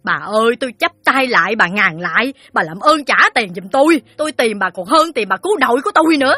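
A woman's voice: sits high, big pitch swings, fairly quick, pushed and bright, no room sound, soft background music, full character voice. A woman playing a middle-aged, sour, bullying loan shark in an audio story, sneering and scolding with a Southern Vietnamese accent. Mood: scornful, loud. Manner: sneering, shrewish, haughty scolding. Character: fat, shrill, sour loan-shark madam, crude and bullying. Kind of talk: audio story drama dialogue.

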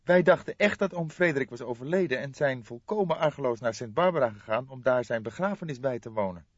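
Audiobook role: male reader as hesitant, distraught young man begging for help. High, swooping, emotional, trembling delivery. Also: animated, fast, husky, no room sound, muffled recording. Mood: troubled. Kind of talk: audiobook character voice.

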